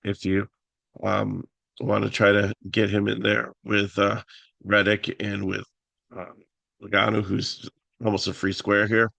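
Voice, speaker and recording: Raspy low-voiced man, flat and gravelly. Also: dry recording. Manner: halting, many fillers and restarts. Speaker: fantasy sports analyst host. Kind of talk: casual solo picks talk.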